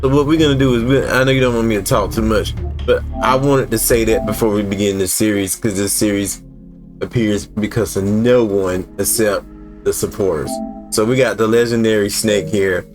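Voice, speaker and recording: A man gives casual walkthrough commentary as a gaming host. His low voice is conversational, with repeated phrases for emphasis. Faint music plays behind him. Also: steady tone, moderate pace.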